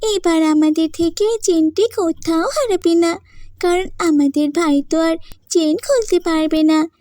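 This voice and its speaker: Very high-voiced little cartoon girl. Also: unhurried, mellow, no room sound, studio quality. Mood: confident. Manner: childlike, earnest. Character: sweet, eager little village girl.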